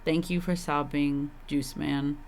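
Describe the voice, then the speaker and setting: A woman's voice: deep voice, steady tone, takes it slowly, a little mellow, slight background noise. A young woman livestreaming a game in casual chat, with a playful, sarcastic manner. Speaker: game streamer.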